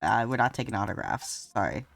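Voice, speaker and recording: A woman's voice: a bass voice, lively intonation, a little fast, creaky, far from the mic. A woman streamer giving laid-back, jokey, casual reactions while playing a game.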